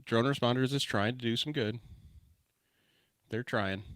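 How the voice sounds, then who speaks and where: A man's voice: mid-range voice, a little slow, no echo. A man co-hosting a podcast from his office, talking in brash, swearing, joking banter. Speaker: podcast co-host. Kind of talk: casual podcast chat. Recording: dry close mic in a home office.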